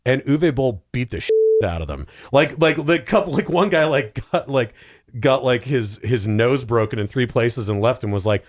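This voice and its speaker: A man with a lively, swinging mid-range voice tells comic stories in casual podcast chat. He acts out quotes and leans on "like" as he goes. The recording is dry. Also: medium pitch, normal speed, no room sound. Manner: animated, acts out quotes, says "like" often. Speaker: podcast panelist.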